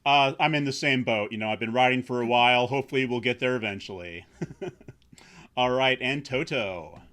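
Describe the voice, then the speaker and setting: Bright-voiced man hosting a chat. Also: medium pitch, moderate pace. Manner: laid-back, laughs easily. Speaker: host. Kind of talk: casual roundtable chat.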